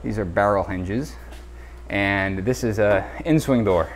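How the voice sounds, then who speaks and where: A man's voice: fairly low pitch, a little slow, a little hiss or hum. A man walking through a renovation site with a head-mounted camera, casually explaining the construction details he points out. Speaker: builder giving a site tour. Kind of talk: walkthrough explanation on a job site. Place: indoor renovation site, bare rooms. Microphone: head-mounted action camera.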